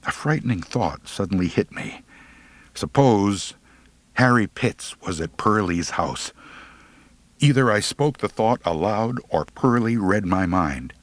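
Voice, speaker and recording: A man's voice: on the low side, animated, a little slow, no room sound. A man narrating a humorous first-person audiobook story in a wry, theatrical manner.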